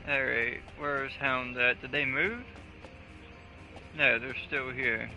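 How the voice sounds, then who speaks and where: A man's voice: mid-range voice, steady tone, takes it slowly, sharp tone. A man chatting casually on a gaming live stream in a crude, profane, joking way. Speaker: video game live streamer. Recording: dull lo-fi audio, faint music.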